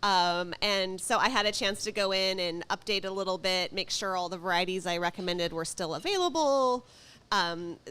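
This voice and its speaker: A woman's voice: mid-range voice, normal speed, a bit bright, clean tone. A woman speaking as a guest in a casual radio interview, chatting and explaining things. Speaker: guest, gardening author and former magazine editor.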